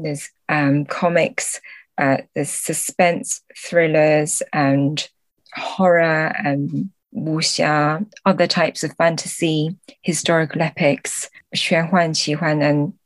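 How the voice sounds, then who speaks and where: Woman, middle-aged, low voice, dry close sound, chatting thru interview answers in a thoughtful way. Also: steady tone, slow, no room sound. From born in Guangzhou, China, moved to the UK at a young age. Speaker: podcast guest, literary translator.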